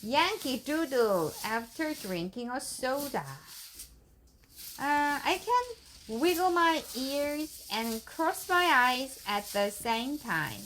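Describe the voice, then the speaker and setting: Lively high-voiced mom reading. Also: female voice, a little hiss or hum, rough recording. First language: Korean. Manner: animated, playful reading with giggles. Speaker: mother reading to her child. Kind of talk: bedtime storybook read-aloud.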